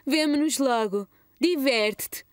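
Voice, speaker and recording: A woman voicing an affectionate, gently amused young mother in a European Portuguese children's cartoon dub. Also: high voice, no echo, clean studio sound.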